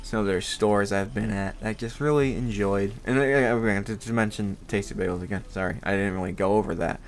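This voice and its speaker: A man's voice: fairly low pitch, fairly even intonation, fairly quick, a little hiss or hum. A young man with a congested voice, rambling casually as a podcast host, swearing freely and laughing often.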